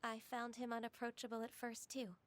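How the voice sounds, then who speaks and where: An actress playing a gentle, earnest young half-immortal woman in a video game, polite in manner. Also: on the high side, monotone, a little fast, close to an everyday voice.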